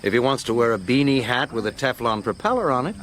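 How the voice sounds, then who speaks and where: A man's voice: medium pitch, big pitch swings, fast talker, a bit bright. A man acting in a radio drama as an easy-going, teasing American astronaut with a wry manner. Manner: wry, amused.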